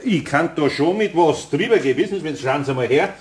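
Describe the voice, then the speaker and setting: A man acts a kindly older craftsman in a radio play. He speaks with a homely Bavarian accent in a fairly high male voice. His manner is hesitant, apologetic and placating. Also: animated, a little fast, lightly acted. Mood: apologetic, obliging. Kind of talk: German radio play acting.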